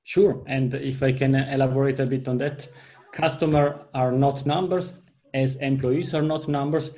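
A man with an Italian accent whose first language is Italian, speaking as a guest in a business discussion and explaining his points through long, halting examples. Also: mid-range voice, warm tone. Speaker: panel guest.

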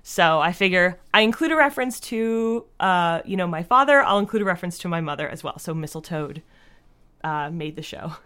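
A woman with a Canadian accent and a fairly low voice talks casually as a podcast guest. She is chatty and informal, peppering her sentences with "like". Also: lively intonation, brisk, pushed and bright, clear, resonant tone. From Fredericton, New Brunswick, Canada. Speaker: podcast guest, audio drama creator. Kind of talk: casual interview chat.

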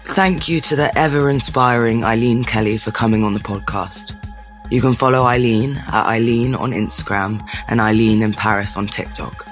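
A man's voice: medium pitch, normal speed, fairly bright tone, soft background music. A man hosting a podcast while he has a cold, joking with his guest and then reading a scripted sign-off. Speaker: podcast host. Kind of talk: casual interview and scripted outro.

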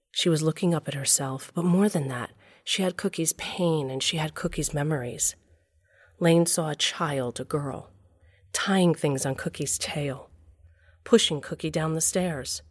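A woman's voice: sits low, measured pace, dry recording. A woman playing a wry, middle-aged storyteller, narrating an audio drama in the first person with an intimate, confiding manner. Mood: storytelling.

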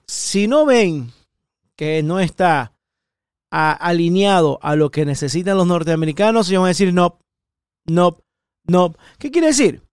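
A middle-aged Ecuadorian man with an Ecuadorian Spanish accent holds forth on his podcast, emphatic and repetitive, jumping up in pitch to stress his points. His voice sits fairly high and sounds smooth and clear, in a dry, close recording. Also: darkish tone, no echo. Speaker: podcast host. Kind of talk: opinionated political monologue.

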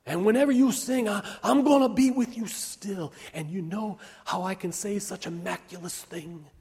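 A man's voice: high voice, normal speed, darkish tone, breathy edge, pitched above the performer's own voice, exaggerated voice. A man voicing a frail, dying old woman, devout and fiercely loving, in a fervent chanting manner that drops to whispers, as in live storytelling. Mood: fervent, tender. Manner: fervent, incantatory, dropping to whispers. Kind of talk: dramatic sermon-like storytelling. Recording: long hall reverb.

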